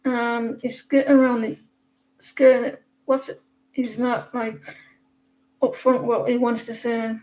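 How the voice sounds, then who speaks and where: A woman's voice: fairly high pitch, flat delivery, a little slow, long pauses, a little mellow, rough recording. A woman in her forties from northeast England, with a northeast English accent, giving a casual, rambling tarot reading full of fillers and restarts. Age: 40s. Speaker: tarot reader.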